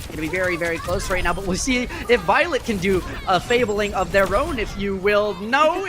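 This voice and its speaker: An esports caster hosting a live broadcast, giving play-by-play in a conversational, playful manner. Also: mid-range voice. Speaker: esports commentator and broadcast host. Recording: music behind the voice. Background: background music.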